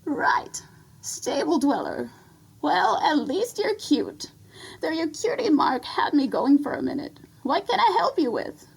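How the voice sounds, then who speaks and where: A woman voicing a timid undead ghoul pony in an audio drama, with a harsh, raspy, hesitant delivery. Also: high voice, sing-song, unhurried, exaggerated voice, rough recording. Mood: dry, curious. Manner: stammering, hesitant. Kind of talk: audio drama character acting.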